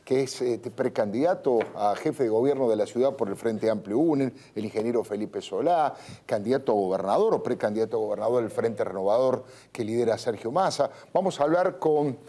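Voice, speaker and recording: A man's voice: mid-range voice, expressive, fairly quick, hardly any pauses, mellow. A man with an Argentine Rioplatense accent hosting a political talk show. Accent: Argentine Rioplatense Spanish.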